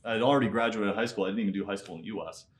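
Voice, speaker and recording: Low-voiced American-sounding man reflecting on his life. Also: racing. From Nepal. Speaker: interview guest, MBA student and entrepreneur. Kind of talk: reflective conversational storytelling.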